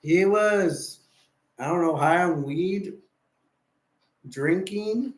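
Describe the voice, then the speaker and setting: A drowsy man chats loosely through a late-night stream, his voice sitting a little high for a man. He rambles between thoughts and greets viewers by name. The sound bounces around a bare, echoey room. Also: extremely slow. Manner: rambling, sleepy, chatty with viewer shout-outs. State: tired and sleepy. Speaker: live stream host. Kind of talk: casual late-night live stream chat.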